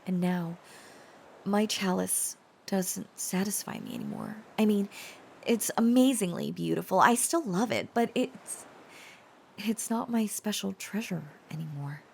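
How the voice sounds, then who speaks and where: A woman acting as a tender, protective dragon girl in a romantic audio roleplay speaks very slowly and haltingly. Her voice is on the low side with a creaky edge, often softening toward a whisper. There is faint noise behind her. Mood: nervous, confessing. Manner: hesitant, halting, earnest, often dropping to breathy whispers. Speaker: voice actor.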